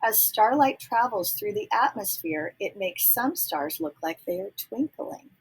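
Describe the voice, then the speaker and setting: A woman reading a children's picture book aloud, pausing to ask young listeners gentle teacherly questions and dropping into soft whispers. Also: fairly high pitch, lively intonation, creaky, breathy edge, poor audio. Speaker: read-aloud storyteller.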